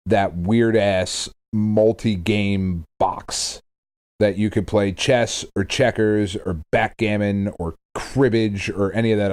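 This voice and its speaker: A man's voice: fairly low pitch, darkish tone. A man co-hosting a podcast in casual chat about games, blunt, informal and joking. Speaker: podcast co-host.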